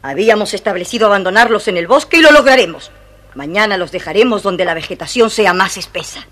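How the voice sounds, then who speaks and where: A woman's voice: medium pitch, expressive, fast talker, a bit bright. A woman playing a harsh, domineering stepmother in a children's audio fairy tale, giving curt commands. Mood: harsh, scolding. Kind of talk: dramatized children's audio storybook acting. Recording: noisy old vinyl-era storybook recording.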